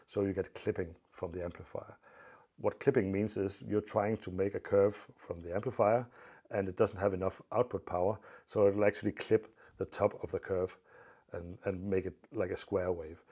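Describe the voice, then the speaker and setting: A man giving an informal technical explanation as an expert presenter, in a measured, matter-of-fact way. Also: sits low, warm tone.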